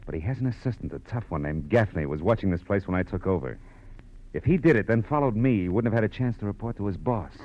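An actor playing a cool, commanding private detective in 1940s radio noir, clipped, tough and world-weary. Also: male voice, lowish voice, animated, fast talker. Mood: cool, insistent. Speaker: lead actor and narrator. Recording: muffled old radio recording with music.

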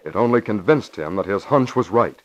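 A man's voice: on the low side, lively intonation, normal speed. A man announcing and narrating a 1940s radio show, emphatic and punchy in delivery. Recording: dull lo-fi old radio recording.

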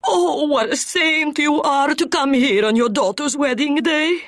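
A stout older wife in grief, her voice pushed very high. She rambles effusively and tearfully in a dry, close storybook reading. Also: a little slow, a bit bright, no echo, heavily acted. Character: stout grieving older Italian wife, babbling.

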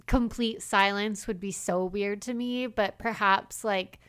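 A woman's voice: mid-range voice, steady tone, unhurried, a bit bright, clean tone. A woman co-hosting a podcast, chatting casually and acting out quoted lines with lots of "like" fillers. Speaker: podcast co-host. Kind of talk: casual chat.

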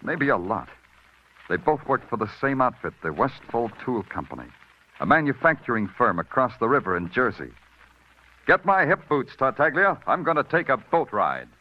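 A man's voice: medium pitch, sing-song, moderate pace, a little hiss or hum, close to an everyday voice. An actor playing a terse, hard-boiled male police detective who narrates in 1940s radio drama style. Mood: brisk. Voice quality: brooding, clipped delivery. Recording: dull old radio recording with music.

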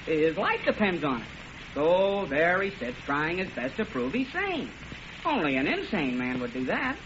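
Jury foreman,, high animated voice, earnest and stammering, vintage radio comedy-drama. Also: measured pace. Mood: earnest, exasperated. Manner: hesitant, stammering, apologetic. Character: flustered, earnest male jury foreman, plain-spoken, comically hesitant. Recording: noisy, muffled vintage radio broadcast.

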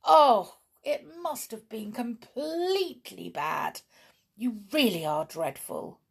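A woman's voice: fairly low pitch, big pitch swings, a little slow, full character voice. A woman voicing an indulgent, long-suffering middle-aged mother and wife in a storybook, fond but exasperated. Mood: distressed.

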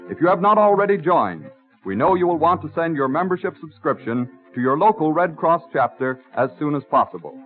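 A man with an American accent announcing in a formal, measured, scripted 1930s radio style. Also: on the high side. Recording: tinny, band-limited 1930s radio broadcast.

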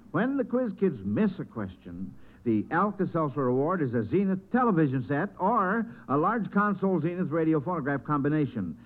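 Folksy male quiz host for vintage radio, encouraging, highish voice, phone-like sound. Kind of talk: old-time radio quiz hosting.

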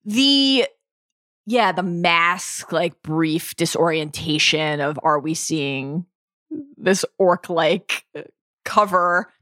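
A woman co-hosting a podcast in casual chat, excitable and laughing, her voice jumping up when amused. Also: slow pace, no echo, clean studio sound. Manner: excitable, laughing, jumps up in pitch. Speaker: podcast co-host.

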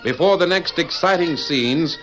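A man's voice: a little high, unhurried, a bit bright, faint music behind, low-quality recording. A man narrating an old radio Western as its announcer, in scripted storytelling style. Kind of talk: 1940s radio drama narration.

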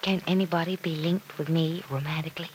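An actress in a vintage radio drama playing a sensible, kindly, gently wry single woman with a reassuring, plain-spoken manner. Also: sits low, fairly even intonation, fairly quick, natural voice. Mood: wry, attentive. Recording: noisy old radio show recording.

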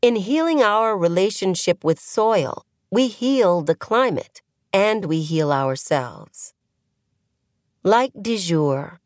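A woman narrates an essay in a slightly low voice, recorded close and dry. Her delivery is measured and earnest, as a scripted reading. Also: no echo. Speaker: audio essay reader.

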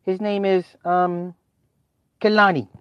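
A man voicing a young daughter who talks to her dad casually, pausing with ums. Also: high-pitched, flat delivery, smooth, no echo, muffled recording, pitched above the performer's own voice. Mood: chatty. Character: young daughter, chatty and matter-of-fact.

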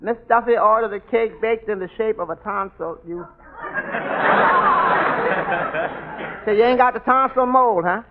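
A stammering wisecracking waiter. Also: male voice, high voice, big pitch swings, slow, pauses often, over loud music, over a noisy crowd, exaggerated voice. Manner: stammers, repeating words; folksy ungrammatical speech. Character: wisecracking male tavern waiter, dry-humored. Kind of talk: 1940s radio sitcom acting. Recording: tinny old radio broadcast with hiss.

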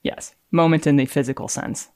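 A woman's voice: low voice, normal speed, warm tone, no room sound. A woman reading aloud and explaining things as an engineer, in a dry, matter-of-fact manner. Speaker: engineer and podcast contributor.

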